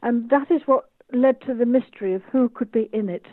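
A woman's voice: fairly high pitch, fairly quick, dry recording. A woman in her eighties from the United Kingdom, an author and tour guide speaking enthusiastically in an interview over a video call. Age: 80s. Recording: tinny phone-like call line.

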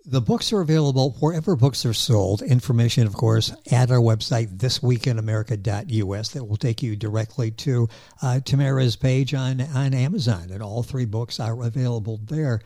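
A man's voice: medium pitch, normal speed, mellow, no echo, studio quality. An older man hosting an interview, asking encouraging questions and promoting his guest's books. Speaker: talk show host.

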